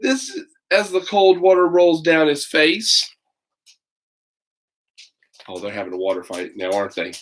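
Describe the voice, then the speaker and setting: A man's voice: on the high side, lively intonation, measured pace, pauses often, a bit bright. A man reading a children's picture book aloud, breaking off for casual, folksy asides to his listeners. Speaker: read-aloud storyteller.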